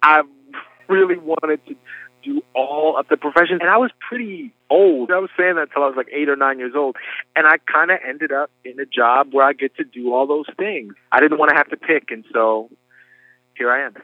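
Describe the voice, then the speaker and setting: A man's voice: a little high, dry recording, narrow, tinny audio. A man in his thirties from New York, raised in Philadelphia, answering interview questions as a guest actor in a thoughtful, storytelling way. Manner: thoughtful, unhurried storytelling with small restarts.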